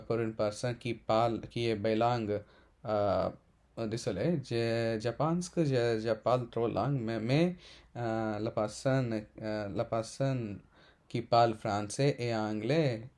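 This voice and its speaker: Guy in his 20s, low voice, talks real slow, keeps stopping and fixing himself. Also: a little mellow. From India. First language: Telugu. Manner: halting, many fillers and self-corrections. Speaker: French learner vlogger. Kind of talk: casual unscripted monologue.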